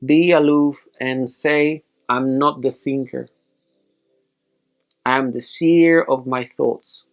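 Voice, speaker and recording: A man leading a guided meditation as a teacher, giving measured instructions in short, separated phrases. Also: medium pitch, fairly even intonation, soft background music.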